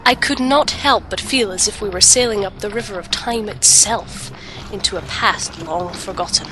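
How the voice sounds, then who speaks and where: A woman's voice: mid-range voice, moderate pace, natural voice. A woman playing a thoughtful, questioning young adventurer in a fantasy audio drama, speaking earnestly and reflectively. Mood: awed, reflective. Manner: earnest, reflective, literary phrasing. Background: music.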